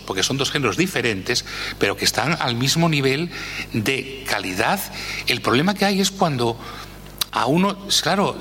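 A man speaking as an expert guest in an interview, explaining at length in an animated way and often tagging phrases with a questioning "eh". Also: medium pitch. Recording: echoey hall with faint noise.

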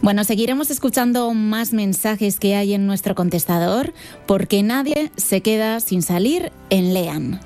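A woman's voice: medium pitch, measured pace, faint music behind. Upbeat Spanish woman radio host. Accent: Spain Spanish. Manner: bright, friendly and upbeat. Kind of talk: children's radio show presenting.

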